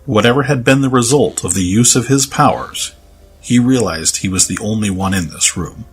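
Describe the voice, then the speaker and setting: Deep-voiced man narrating dramatic fiction. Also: normal speed, breathy edge. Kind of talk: dramatic scripted story narration. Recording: music under the voice.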